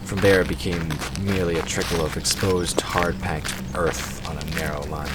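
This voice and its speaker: A man with a very deep voice narrates a fantasy story in scripted audio drama style. There is clear background noise, with outdoor nature effects layered behind him. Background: forest ambience sound effects.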